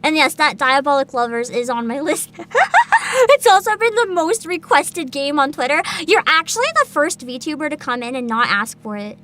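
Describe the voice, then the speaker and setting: A woman chatting casually to viewers as a livestream host, with a giggly, expressive manner full of interjections. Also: sits high, moderate pace.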